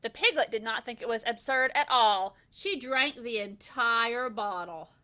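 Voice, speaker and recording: A woman reading a children's bedtime story aloud like a first grade teacher, with expressive, deliberate storytelling. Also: fairly high pitch.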